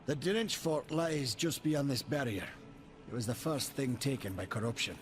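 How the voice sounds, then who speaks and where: A man voicing an earnest, good-natured maker warrior in a video game, with a hearty, expressive delivery. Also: medium pitch, normal speed, soft background music, close to an everyday voice. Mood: grave, resigned.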